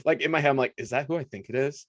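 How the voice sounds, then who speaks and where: A man's voice: mid-range voice, rapid-fire, fairly bright tone, no room sound, clean studio sound. A young man co-hosting a casual sports podcast, chatty and laughing often as he talks. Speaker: podcast co-host.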